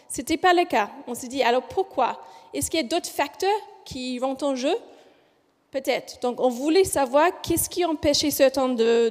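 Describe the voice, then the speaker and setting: High-voiced Australian woman presenting in a hall. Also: a little mellow. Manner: speaks slowly and deliberately, with frequent hesitations and self-corrections. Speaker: physiotherapist researcher presenting a study. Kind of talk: conference presentation. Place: large conference hall. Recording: long hall reverb.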